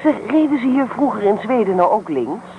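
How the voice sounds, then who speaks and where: A high-pitched young woman in a radio drama, teasing and assertive. She talks bluntly and with self-assurance, on an old recording with some background noise. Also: big pitch swings, a little fast, phone-quality sound. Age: young adult. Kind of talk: radio play acting, naturalistic dialogue.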